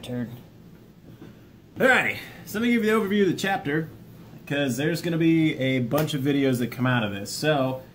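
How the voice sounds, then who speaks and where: Folksy man talking over rumbly noise. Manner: folksy, plainspoken, jokey asides, addresses viewers directly. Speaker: video host, army veteran sharing self-help lessons. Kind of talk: informal video talk, partly reading a book overview.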